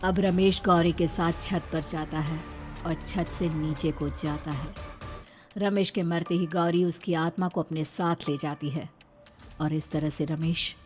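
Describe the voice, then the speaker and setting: A woman giving a dramatic scripted narration of an animated horror story. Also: low voice, a little slow, faint music behind.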